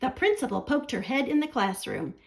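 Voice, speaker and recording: High-voiced, animated woman reading a picture book. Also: a little fast, gravelly. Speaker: children's librarian storyteller.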